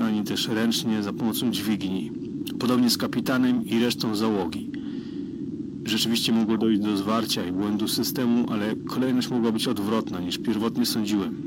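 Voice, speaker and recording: A man's voice: lowish voice, very noisy, natural voice. A man playing a worried spaceship crewman methodically and formally recording a solitary audio log in a radio drama. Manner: methodical, formal, dryly reporting.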